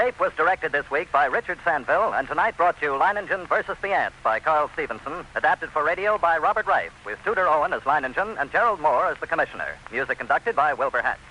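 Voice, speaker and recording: Old timey radio announcer guy, fast n formal, projected, music behind. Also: fairly high pitch, expressive, low-quality recording.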